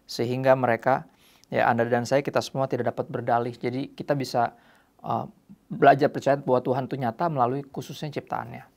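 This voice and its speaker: A man hosts a youth faith program in a casual presenting style. His voice sits at a middle pitch with a noticeable gravelly fry. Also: little pitch movement, a little fast, darkish tone. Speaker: host.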